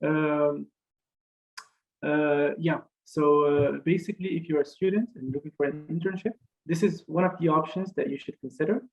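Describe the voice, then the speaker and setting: Hesitant young man on a muffled call. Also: a little high. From Morocco. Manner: halting, many uh fillers and restarts. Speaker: career fair speaker. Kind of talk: online career talk presentation.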